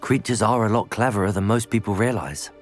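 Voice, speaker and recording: A man's voice: on the low side, a little fast, soft background music, natural voice. A young man playing a composed, kind teenage wizard hero in a video game, with a British accent and a dry, understated delivery. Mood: calm.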